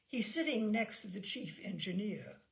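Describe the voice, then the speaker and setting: A woman's voice: medium pitch, expressive, moderate pace, gravelly. A woman reading the part of a wry, playful male ship's doctor in a teasing, understated way.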